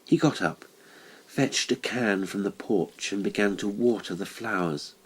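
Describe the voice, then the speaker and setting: A man narrates a horror audiobook. His voice is deep and grainy, and he reads very slowly. The delivery is deliberate and dramatic, with every phrase carefully measured. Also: slightly rough, low-quality recording.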